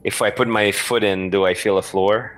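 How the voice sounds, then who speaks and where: A man with a very low voice plays an improvised adventure game. He talks casually, working out his moves aloud in halting, tentative bits. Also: steady tone, fairly bright tone, rough recording. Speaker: guest player in a story game. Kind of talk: casual chat while playing a game.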